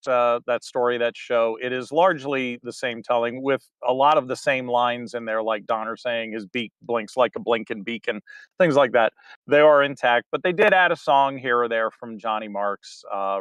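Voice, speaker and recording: Rambling, smooth-voiced director talking at length. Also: male voice, medium pitch, a bit bright, no echo. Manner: rambling, many fillers and restarts. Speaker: theater director, guest. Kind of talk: casual interview chat.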